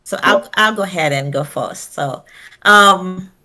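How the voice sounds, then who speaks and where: A woman's voice: fairly low pitch, a little slow, sharp tone. A woman from Haiti, speaking earnestly and proudly as a guest on a podcast, with plenty of fillers and restarts. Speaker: guest, financial professional. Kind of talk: conversational podcast interview.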